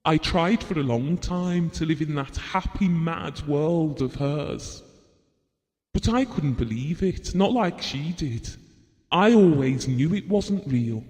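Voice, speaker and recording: Slow, grieving husband in a reverberant hall. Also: male voice, a little high, expressive, darkish tone. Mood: sorrowful. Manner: slow, tender, reflective. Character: Bill, grieving, gentle, practical husband and bereaved father. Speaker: actor in an audio drama. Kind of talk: intimate audio drama monologue. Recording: long reverberant hall sound.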